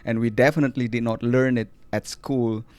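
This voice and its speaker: Podcast host guy from indonesia, mid-pitched, thinks out loud, dry close sound. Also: steady tone, a little fast, clear, resonant tone, no room sound. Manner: thoughtful, searching, frequent restarts. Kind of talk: reflective interview conversation.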